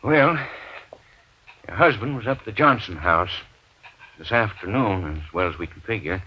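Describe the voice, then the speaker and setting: An actor playing a folksy, stammering small-town sheriff's officer in an old radio mystery, halting and peppering his talk with filler phrases. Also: lowish voice, expressive, a little hiss or hum, natural voice. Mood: halting, reluctant. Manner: hesitant, stammering, repeating "you know". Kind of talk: 1940s-50s radio drama acting. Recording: dull vintage radio broadcast recording.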